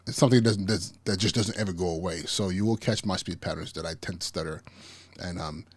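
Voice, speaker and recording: Husky, low-voiced man who stutters. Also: fast talker, no echo. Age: 40s. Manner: stutters, repeats and restarts words. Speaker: podcast host, school principal. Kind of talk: casual podcast commentary.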